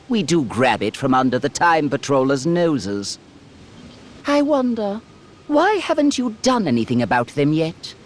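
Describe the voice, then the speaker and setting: A man's voice: sits high, full character voice. A man playing a haughty, mocking alien tyrant lord with pompous, menacing theatrical delivery in a video game. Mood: haughty scheming. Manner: pompous, sardonic, elaborate phrasing. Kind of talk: theatrical villain acting in game cutscenes.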